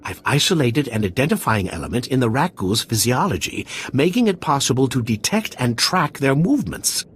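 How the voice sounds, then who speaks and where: An actor playing an eccentric, courteous scientist in a video game, formal, precise and theatrical with a dry wit. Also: male voice, mid-range voice, sing-song, a little fast, hardly any pauses, soft background music, lightly acted. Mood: businesslike.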